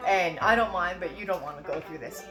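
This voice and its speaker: A woman's voice: lowish voice, brisk, music in the background. A Canadian woman giving an informal accent tutorial, rambling as she sounds out words and exaggerates vowels. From Saskatchewan, Canada. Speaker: amateur accent tutor, actress.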